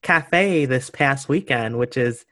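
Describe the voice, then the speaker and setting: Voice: medium pitch, expressive, slow, a bit bright. A podcast host opening the show from a script and then interviewing a guest with an upbeat, eager manner. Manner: upbeat, enthusiastic.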